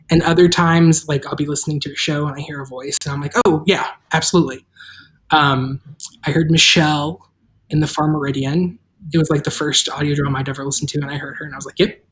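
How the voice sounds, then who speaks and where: A livestream host and audio drama creator chatting casually with the cast and viewers in a wry, deadpan way. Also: medium pitch, low-quality recording.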